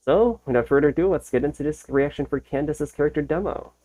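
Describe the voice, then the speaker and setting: A woman's voice: deep voice, fast talker, dark tone. A woman hosting a reaction video with casual, amused commentary and hesitant musing. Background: faint music.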